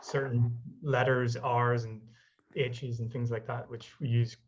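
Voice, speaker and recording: A man hosting a podcast interview in a measured, encouraging way over a video call. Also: mid-range voice, little pitch movement, slight background noise. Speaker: podcast host. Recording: muffled video call audio.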